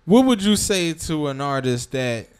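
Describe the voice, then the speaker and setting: A man's voice: a little high, sing-song, measured pace, a little mellow, clean tone. A man hosts an interview, asking casual, slangy questions with long rambling setups.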